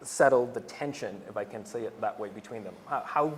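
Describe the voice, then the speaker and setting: A man's voice: on the low side, brisk, slight background noise. A man, an art professor, asking careful questions with restarts as he moderates an artist talk in a gallery. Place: gallery hall. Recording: long hall reverb, faint ambience.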